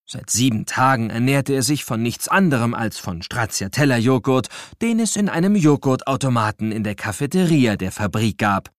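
A man's voice: mid-range voice, no echo, studio quality, natural voice. A man narrating a children's audio drama as a scripted story, building suspense with dramatic storytelling.

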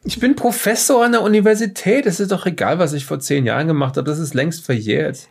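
A man playing an evasive middle-aged professor with a shady past, halting and rambling, in an improvised audio drama interrogation. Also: on the high side, gravelly, breathy edge. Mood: defensive, flustered. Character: evasive forty-year-old professor with a safecracker past.

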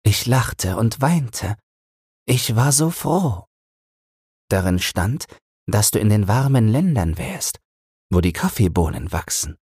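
Man voicing a gentle, slow-talking old woman, higher-pitched. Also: big pitch swings, breathy edge, no room sound, studio quality, full character voice. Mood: fond, excited. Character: gentle, nostalgic old sailor's wife, fond and reminiscing. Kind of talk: audiobook fairy-tale character reading.

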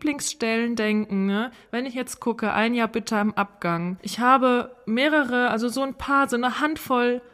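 Woman, high-pitched voice, podcast co-host, casual reminiscing, giggly animated storyteller. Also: normal speed, hardly any pauses, long reverb tail.

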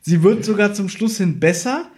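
A man's voice: sits high, moderate pace, a little mellow. A man co-hosting a podcast, casually reviewing an audio drama in a teasing, ironic way. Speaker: podcast co-host.

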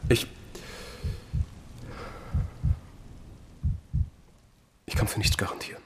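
An actor playing a reluctant, sceptical but firm confidant in a fantasy audio drama. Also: male voice, racing, a bit bright, a little hiss or hum, natural voice.

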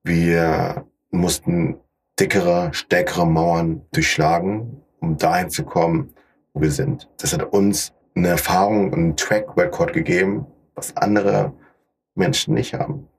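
Low, husky young man. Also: fairly even intonation, a little slow, rough recording. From Paderborn, Germany. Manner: mixes English business words into speech. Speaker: podcast guest, startup founder. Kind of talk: conversational interview answers.

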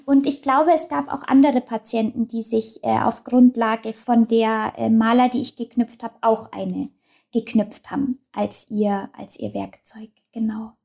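A young woman with a high-pitched voice talks as an interview guest. She speaks in a casual, open way, full of fillers and restarts. Her voice sits well above the usual range for women. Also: steady tone, unhurried, gravelly, dark tone. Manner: open, chatty, many fillers and restarts, laughs often.

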